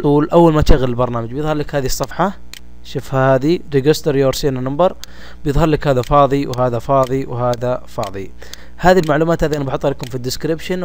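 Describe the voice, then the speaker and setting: A man with a Gulf Arabic accent talks quickly through a casual software tutorial. His voice sits at a medium-high, ordinary male pitch, and he speaks informally, as if to friends. There is slight noise behind him in what sounds like a home recording. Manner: informal, colloquial, addressing listeners familiarly. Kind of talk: casual step-by-step screen tutorial.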